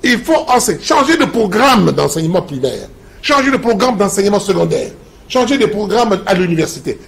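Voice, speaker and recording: A man's voice: high-pitched, sing-song, a little fast, vocal fry. A man, a professor lecturing emphatically in a TV debate. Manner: emphatic, lecturing, repeats slogans.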